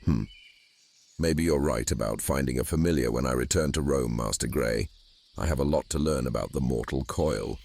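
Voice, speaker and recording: A man voicing an ancient, grand and sly djinn in a fantasy audio drama, with a gravelly, husky growl. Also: rumbling low, normal speed, a little mellow, voice pushed down, exaggerated voice. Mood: thoughtful.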